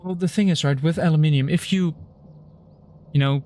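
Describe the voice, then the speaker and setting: Young guy streaming, dry deadpan explainer, kinda high voice, faint hiss behind. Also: normal speed. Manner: dry, explains technical points, deadpan puns. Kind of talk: casual chat while gaming.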